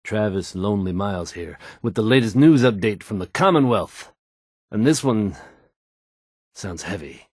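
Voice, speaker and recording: A man's voice: fairly low pitch, lively intonation, normal speed, darkish tone, slightly rough, dry recording, clean studio sound. An actor playing a confident, folksy male radio DJ in a video game, delivering news in suave showman's patter. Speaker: radio DJ character.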